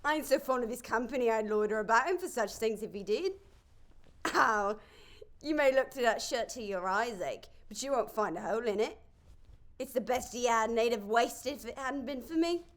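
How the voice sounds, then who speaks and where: A woman's voice: fairly high pitch, expressive, normal speed, natural voice. A woman playing a brazen, callous working-class thief in an audio play, defiant and scoffing in colloquial speech. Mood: scornful, defiant. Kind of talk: audio play character acting.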